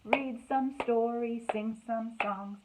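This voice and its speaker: A woman hosting a children's storytime, speaking in an expressive, sing-song way to young listeners, in slightly dull, lo-fi sound. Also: a little high, takes it slowly, heavy background noise. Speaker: library storytime host. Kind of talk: children's storytime, read-aloud and singalong.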